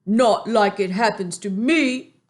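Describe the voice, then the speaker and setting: A woman reading a storybook aloud, voicing a big, kindly male giant who gets excited and mixes up his words. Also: slow pace, close to an everyday voice. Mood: eager. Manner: excited, high and loud, tumbling made-up words. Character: big gentle friendly male giant, muddled speech.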